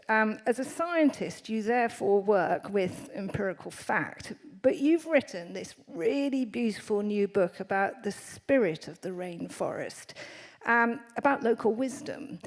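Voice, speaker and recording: A woman's voice: mid-range voice, animated, unhurried. A woman moderating a live panel on stage, framing long, articulate questions for the guests. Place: lecture hall with audience. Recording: long hall reverb.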